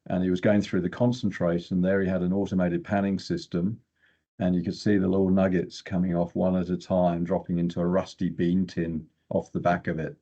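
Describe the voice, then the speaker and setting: A man's voice: sits low, little pitch movement, fairly quick, dark tone, dull audio. An older man, an exploration geochemist, telling stories casually as an interview guest.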